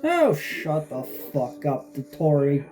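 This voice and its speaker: Streamer: woman, middling low pitch for playful swearing and sarcastic asides, very lively intonation, faint music behind. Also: slow. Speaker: streamer reacting to a game. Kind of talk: casual gameplay commentary.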